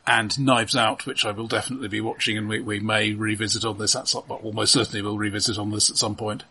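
Husky-voiced man, halting and casual. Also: lowish voice, little pitch movement, pushed and bright. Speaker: podcast co-host. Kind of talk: casual film chat, running down a list.

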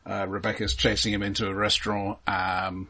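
A man with a lowish, somewhat husky voice discusses films casually as a podcast co-host. He is measured in delivery and openly opinionated. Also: little pitch movement, pushed and bright. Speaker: film review podcast co-host.

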